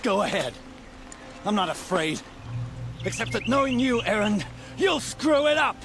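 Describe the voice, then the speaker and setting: A man's voice: high-pitched, very animated, a little slow, background noise, full character voice. An actor playing a defiant male villain held captive, taunting and sneering in a video game cutscene. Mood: scornful, defiant. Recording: music behind the voice.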